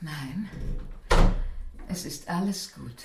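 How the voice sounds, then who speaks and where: A woman's voice: sits low, slow, creaky, over loud music, exaggerated voice. An actress playing an anxious, devoted married landlady in a radio drama, deferential and earnest. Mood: subdued.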